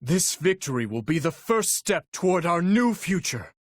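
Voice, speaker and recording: A man's voice: sits high, big pitch swings, no echo, clean studio sound. A man voicing a noble, earnest young knight-commander in a video game, speaking formally and solemnly with resolve. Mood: hopeful, steady. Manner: formal, measured, earnest delivery. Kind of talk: dramatic video game voice acting.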